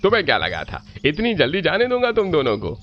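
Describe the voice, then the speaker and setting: A man's voice: fairly high pitch, huge pitch swings, fast talker, voice pushed up. A man voicing a cruel, menacing middle-aged uncle in a cartoon, taunting and threatening, on a muffled recording with music. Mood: mocking menace. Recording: muffled audio with music behind.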